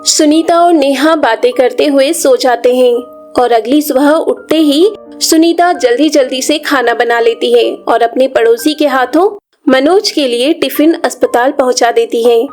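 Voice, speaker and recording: Female narrator for animated children's folk tales, high register, storytelling read over faint background music.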